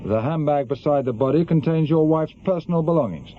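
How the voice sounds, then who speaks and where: An actor playing a curt, commanding police chief inspector in a radio drama. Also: male voice, on the high side, brisk, close to an everyday voice. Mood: grave, measured. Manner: curt, probing, authoritative. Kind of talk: naturalistic radio drama acting. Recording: dull lo-fi radio recording, noisy.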